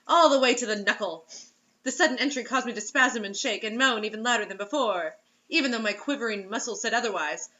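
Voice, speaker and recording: A woman's voice: on the high side, pushed and bright, highs cut off. A woman reading fiction aloud, giggly and often breaking off into incredulous joking asides. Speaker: reader and co-host mocking bad fiction.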